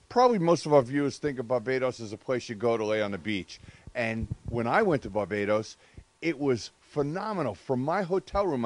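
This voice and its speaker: A man's voice: medium pitch, dry recording. A man hosting a horse racing interview show, introducing a guest and asking open questions conversationally. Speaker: show host.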